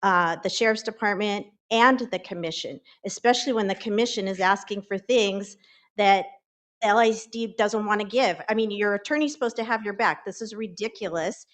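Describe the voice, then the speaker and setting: A woman's voice: medium pitch, moderate pace. A woman speaking as a public commenter, emphatic and exasperated.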